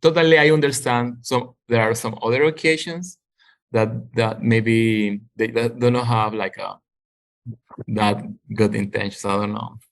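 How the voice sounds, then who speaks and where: A man in a group discussion on a video call, sharing his view haltingly, with many restarts as he searches for words. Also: mid-range voice, fairly even intonation, creaky. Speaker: program participant.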